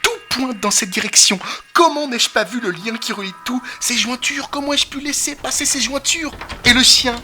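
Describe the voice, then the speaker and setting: A man playing a brilliant, excitable detective in an audio drama, incisive and theatrical as he lectures. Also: very high-pitched, moderate pace, soft background music, heavily acted. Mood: agitated realisation. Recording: noisy ambience behind the voice. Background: faint ambient noise.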